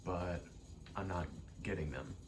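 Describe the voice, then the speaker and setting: Very deep-voiced man, soft ASMR ramble. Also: measured pace, noisy background. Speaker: ASMR vlogger and aspiring actor. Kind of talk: ASMR ramble, casual chat.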